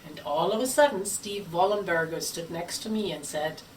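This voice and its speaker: A woman telling a story in a theatrical, scripted way, with dramatic pauses and playful asides. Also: on the low side. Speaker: theatre company member telling its history. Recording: faint background noise, distant microphone.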